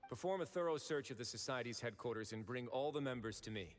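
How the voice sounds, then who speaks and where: A man playing a composed, shrewd duke in a video game, speaking in a measured, articulate, authoritative way. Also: medium pitch, expressive, close to an everyday voice. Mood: approving, commanding. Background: game music.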